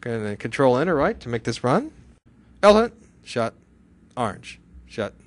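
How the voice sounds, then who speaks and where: A man giving a live software tutorial to a class, chatty, often asking questions and answering them himself. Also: mid-range voice, very animated, normal speed. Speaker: software instructor.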